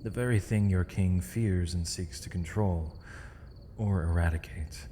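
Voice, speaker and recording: Casting: male actor for misunderstood villain mage in chains, low mellow voice, gentle and eloquent, intimate ASMR roleplay in a reverberant space. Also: slight background noise. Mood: earnest, hopeful. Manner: measured, formal, poetic phrasing with thoughtful pauses. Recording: long reverb, faint night insect ambience.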